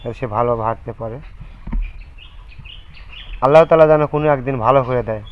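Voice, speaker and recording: A Bangladeshi man presenting an informal charity appeal and interview on location, speaking in a halting, repetitive way. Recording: muffled low-fi audio, background noise, bleating animals.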